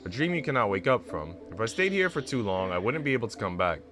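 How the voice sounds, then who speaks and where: A man's voice: on the low side, very animated, quick pace. Man reading as reflective young woman, music behind. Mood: reflective, uncertain. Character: young woman narrator, reflective and uncertain, visual novel. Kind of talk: reading visual novel narration aloud.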